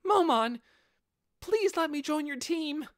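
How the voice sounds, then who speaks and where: A man's voice: very high voice, expressive, slow pace, no room sound, studio quality, voice pushed up, heavily acted. A man voicing an earnest teenage boy, an apprentice apothecary who pleads imploringly, in an audiobook story. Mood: earnest pleading.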